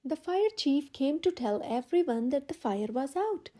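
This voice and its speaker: A woman storyteller reading a children's picture book aloud in an expressive, emphatic way for young listeners. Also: high-pitched, measured pace. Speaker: storyteller, channel host.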